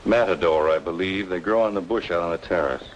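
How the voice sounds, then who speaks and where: An actor playing a weary, troubled architect in an old-time radio noir, subdued and hesitant. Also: male voice, sits low, brisk, dull audio, slight background noise, lightly acted. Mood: brooding. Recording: old radio drama with music behind.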